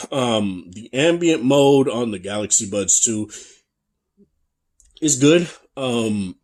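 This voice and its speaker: A young man with a deep, bassy voice giving a casual, chatty tech review, full of fillers and restarts. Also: expressive, slow, a little mellow. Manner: laid-back, chatty, many fillers and restarts.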